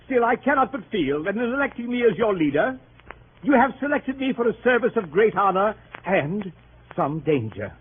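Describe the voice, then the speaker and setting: A tinny, hissy vintage radio recording carries pompous gentleman club leader. His voice is high and swoops widely as he holds forth with innocent, earnest grandeur, orotund and faintly hesitant, in 1930s comic radio manner. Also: very animated, normal speed. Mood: grandly oratorical. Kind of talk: 1930s radio comedy acting.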